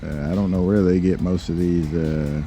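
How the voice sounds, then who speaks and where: A man playing a confident, mocking lawyer in online roleplay, speaking dryly and condescendingly with measured hesitations. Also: deep voice, steady tone, mellow, faint music behind. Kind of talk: in-character roleplay banter.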